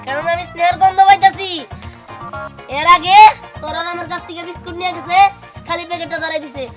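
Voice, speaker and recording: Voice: very high-pitched, fairly even intonation, quick pace, loud music behind the voice. A performer voicing an exasperated, coaxing youngster in a comic cartoon, repeating words in agitation, with a Bangladeshi accent. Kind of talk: comic Bengali cartoon drama.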